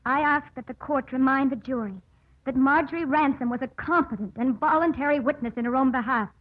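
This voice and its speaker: A woman's voice: high-pitched, fairly quick. An actress playing an earnest, composed woman lawyer in a vintage radio drama, speaking formally and emphatically. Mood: impassioned. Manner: formal, emphatic courtroom oratory. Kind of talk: 1940s-50s radio drama acting. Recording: muffled old radio broadcast.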